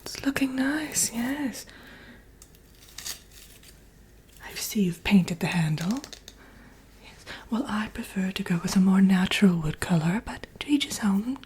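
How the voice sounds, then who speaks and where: A woman voicing a vain, sly wicked witch in a breathy, whispered ASMR roleplay, chatting coyly as if with an old friend. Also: mid-range voice, lively intonation, a little mellow, a little hiss or hum, natural voice. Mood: chatty, coaxing. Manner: theatrical, coyly boastful, doting, slow and murmuring.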